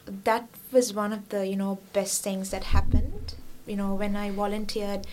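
A woman's voice: medium pitch, measured pace, darkish tone, low-quality recording. A young woman from Sri Lanka, an international student, chatting casually in an interview and filling her talk with "like" and "you know". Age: young adult. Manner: chatty, many fillers like "like" and "you know", laughs often.

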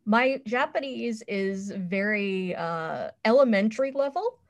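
A woman's voice: mid-range voice, measured pace. A woman on a podcast panel chatting casually in a candid, playful, self-deprecating way.

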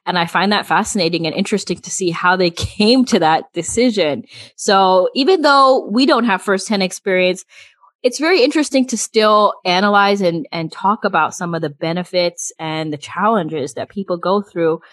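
A woman's voice: mid-range voice, moderate pace, no room sound. Chatty woman podcast co-host, dry sound. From United States. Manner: animated, chatty, laughs often. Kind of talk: casual chat.

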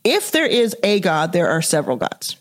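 A woman's voice: lowish voice, big pitch swings, normal speed. Musing woman, thinking aloud. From Dallas, Texas, US. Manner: thinking aloud, frequent "like" and "you know" fillers. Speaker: podcast host. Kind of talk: casual conversational interview.

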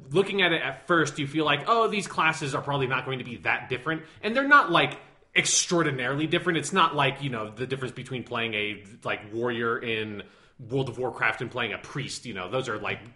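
Male podcast co-host, bright tense tone, animated and teasing in banter. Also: medium pitch, fairly quick. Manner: animated, playfully sarcastic. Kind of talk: casual podcast chat.

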